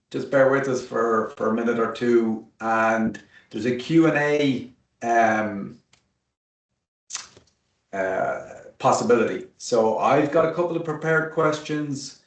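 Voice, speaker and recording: A man's voice: medium pitch, distant mic. A man hosting an online interview in a casual, chatty way, joking at his own expense. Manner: chatty, jokey and self-deprecating. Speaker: host of an online webinar. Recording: roomy sound from away from the mic.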